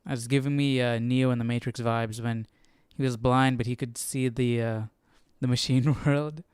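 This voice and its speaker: A man's voice: medium pitch, fairly even intonation, normal speed, darkish tone, smooth, dry recording, studio quality. A man hosting a livestream study in casual, informal chat sprinkled with youthful slang and exclamations. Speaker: Bible study livestream host.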